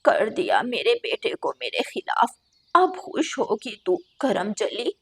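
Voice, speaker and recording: A woman voicing a sharp-tongued mother-in-law bird with a blunt, scolding manner in a cartoon story. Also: a little high, huge pitch swings, takes it slowly, slightly rough, exaggerated voice.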